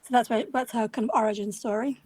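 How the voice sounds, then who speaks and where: A woman's voice: fairly high pitch, steady tone, fast, darkish tone, breathy edge, dry recording. A woman from Scotland, a crime writer speaking on a panel over a video call, modest and slightly hesitant. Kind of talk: panel discussion.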